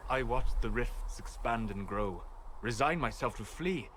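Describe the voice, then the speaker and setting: An actor playing a reserved, wistful elven mage in a video game, speaking formally and deliberately with weighty pauses. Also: male voice, mid-range voice, animated, fairly bright tone, slight background noise, poor audio. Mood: grave, reflective. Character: reserved, scholarly elven mage, a wistful, philosophical apostate man. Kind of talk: dramatic video game cutscene dialogue.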